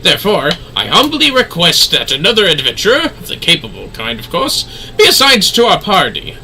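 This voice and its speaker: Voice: medium pitch, huge pitch swings, moderate pace, sharp tone, a little hiss or hum, full character voice. A woman voicing a dutiful male guard in a fantasy game, with stiff, formal, slightly flustered politeness. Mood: formal, deferential.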